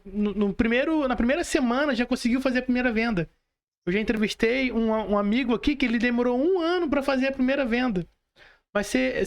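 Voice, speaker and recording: Brazilian woman hosting a casual podcast. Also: a little high. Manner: repetitive phrasing, rhetorical questions to listeners. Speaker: podcast host, mentor. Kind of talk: casual motivational podcast chat.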